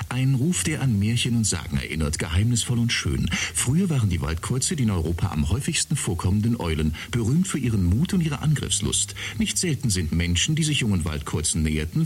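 A man's voice: lowish voice, breathy edge, full character voice. An actor playing a polite male bar servant who recites an announcement in a radio play.

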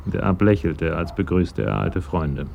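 A man's voice: deep voice, sing-song, measured pace, gravelly, a little mellow, lo-fi sound, slight background noise. A man giving scripted narration for a German radio crime drama.

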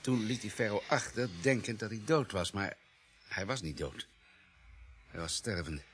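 A man's voice: on the low side, expressive, moderate pace, a bit bright. A man acting in a radio drama as a matter-of-fact police pathologist with a precise, analytical manner. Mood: clinical, assured. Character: matter-of-fact male police pathologist, coolly reconstructing murders.

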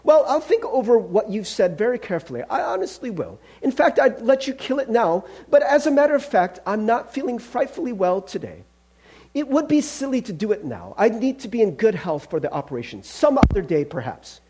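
Man voicing a high-pitched, flustered, wheedling ghost. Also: sing-song, fairly quick, a little mellow, husky, full character voice. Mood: flustered, placating. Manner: flustered, apologetic, over-polite. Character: nervous, wheedling, evasive ghost making polite excuses. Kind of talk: dramatic reading of a story.

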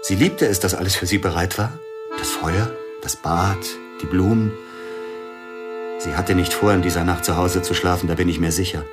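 An actor playing a grieving older pianist in a radio play, brooding and suspicious, often sinking to a hushed near-whisper. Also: male voice, deep voice, moderate pace, loud music behind the voice, natural voice.

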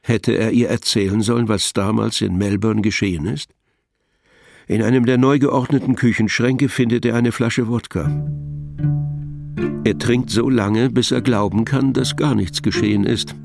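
A man narrating a scripted audio drama in a measured, literary storytelling manner. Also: medium pitch, fairly even intonation, vocal fry, darkish tone, dry recording, clean studio sound, soft background music.

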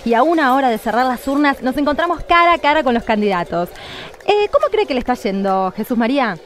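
A woman's voice: high voice, big pitch swings, fast, slight background noise. A woman playing a flustered, gushing small-town live reporter in a radio play. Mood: flustered, excited.

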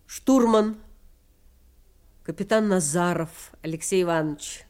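Actress as slow, solemn older woman veteran. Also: fairly low pitch, darkish tone, lightly acted. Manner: measured, solemn, deliberate. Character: older female war veteran, former signals operator, solemn, tender. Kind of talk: audio drama narration and dialogue. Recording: instrumental music behind.

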